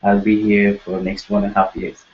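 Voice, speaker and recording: This is a man with a lowish voice. He gives a short, plain introduction. The webcast audio is dull and lo-fi. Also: completely flat delivery, moderate pace. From Nepal. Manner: brief, plain self-introduction. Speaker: graduate student assistant.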